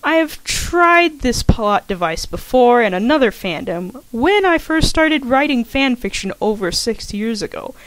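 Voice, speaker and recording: A woman's voice: on the high side, big pitch swings, a little slow, few pauses, fairly bright tone, no room sound, poor audio. A young adult woman reading her own casual commentary as an author, chatty and self-deprecating, now and then stumbling over her words. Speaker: fan fiction author. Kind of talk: casual scripted personal commentary, read aloud.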